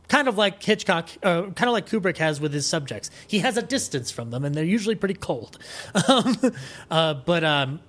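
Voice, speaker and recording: A man speaking as a podcast guest in a casual film discussion, animated and opinionated, swearing freely. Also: fairly high pitch.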